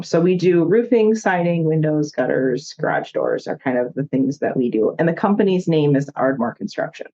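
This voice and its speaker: A woman's voice: low voice, dark tone, highs cut off. A woman in her thirties with a Minnesota accent, a chatty guest telling her story in a casual interview. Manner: chatty, laughs often, many "like" fillers. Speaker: guest, construction company owner.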